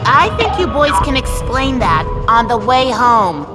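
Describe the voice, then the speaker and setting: A woman's voice: a little high, very animated, a little slow. An actress playing a brainy, confident young woman who explains mysteries matter-of-factly. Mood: knowing. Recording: game audio under music.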